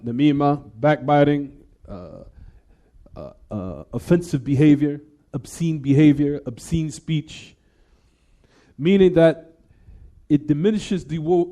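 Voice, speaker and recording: Man teaching a lesson, dark voice. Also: on the high side. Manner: asks the class rhetorical "what?" questions and checks "everyone?"; casual, conversational English mixed with Arabic terms. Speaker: Islamic teacher. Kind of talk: classroom lesson explanation.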